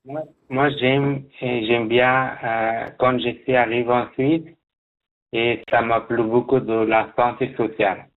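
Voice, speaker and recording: A man from Afghanistan, speaking as a guest in a radio interview, halting, with many restarts. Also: medium pitch, flat delivery, narrow, tinny audio. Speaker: guest job seeker.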